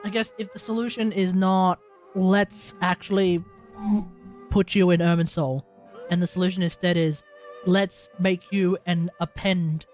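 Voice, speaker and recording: A woman giving casual live reactions as a game streamer, musing in a halting, slangy way. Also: fairly low pitch, steady tone, darkish tone. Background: game music.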